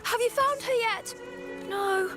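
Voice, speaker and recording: A woman's voice: extremely high pitch, slow. A woman playing an earnest, chatty little choir girl in a video game. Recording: game music behind.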